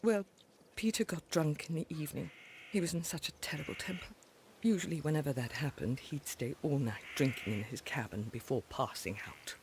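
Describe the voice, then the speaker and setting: A woman's voice: low-pitched, brisk, darkish tone, slight background noise. A woman playing a respectable, sorrowful middle-aged widow in a video game, speaking formally and hesitantly. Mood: troubled, recounting. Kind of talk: scripted game dialogue.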